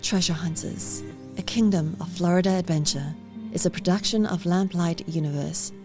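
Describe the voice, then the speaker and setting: Low-voiced woman announcer over prominent music. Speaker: announcer reading audio drama credits. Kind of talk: scripted credits read.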